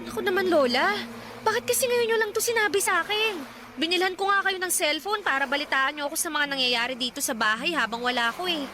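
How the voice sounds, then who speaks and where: A woman's voice: very high-pitched, fast, bright, forceful tone, slight background noise. An actress playing a young woman who anxiously questions her family in a radio drama. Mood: worried. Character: young woman granddaughter, worried and outspoken.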